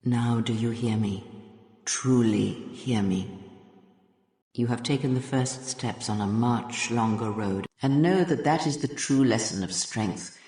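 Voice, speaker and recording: A cryptic, husky mentor. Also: male voice, medium pitch, measured pace. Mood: solemn. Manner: calm, cryptic, solemn.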